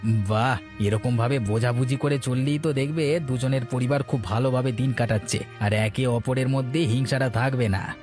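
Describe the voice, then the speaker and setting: A man's voice: medium pitch, fairly even intonation, moderate pace, a little mellow, faint music behind, close to an everyday voice. A man playing a reasonable, advice-giving husband in a Bengali cartoon. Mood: approving, advising. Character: moralising, reasonable husband of a jealous wife.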